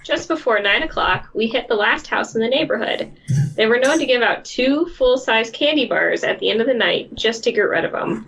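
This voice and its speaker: High-pitched animated woman, slightly dull audio. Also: normal speed, creaky, husky. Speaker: podcast co-host. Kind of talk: story read-aloud and casual chat.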